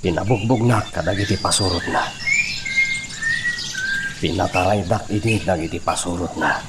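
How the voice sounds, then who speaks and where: Deep-voiced, sorrowful old Filipino father. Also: moderate pace, very noisy. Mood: sorrowful, remembering. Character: sorrowful old father recounting lost love and son. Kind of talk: radio drama acting. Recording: loud music bed behind the voice.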